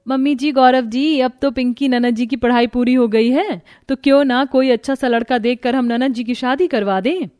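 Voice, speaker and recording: A scheming middle daughter-in-law, voiced by a woman in a cartoon household story. She is sly and teasing, a touch high in pitch. The sound is dry. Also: normal speed, few pauses, a little mellow, no echo, close to an everyday voice.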